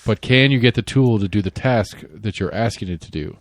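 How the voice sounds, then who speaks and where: A man's voice: lowish voice, a little fast, no echo, clean studio sound. A man co-hosting a podcast in casual chat, laid-back and joking, swearing freely. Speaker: podcast co-host.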